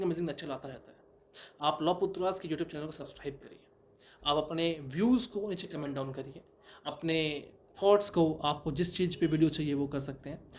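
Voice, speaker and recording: A young man giving a law lecture, asking rhetorical questions and answering them himself, with many English terms mixed in. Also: a little high. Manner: mixes English terms into Hindi. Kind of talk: explanatory lecture.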